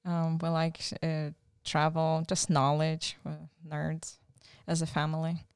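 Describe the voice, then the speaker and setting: Ukrainian woman, 30s, interview guest; low, dry, hesitant and reflective. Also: monotone, slow, clear, resonant tone, dry recording. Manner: thoughtful, hesitant with many fillers. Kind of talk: casual interview.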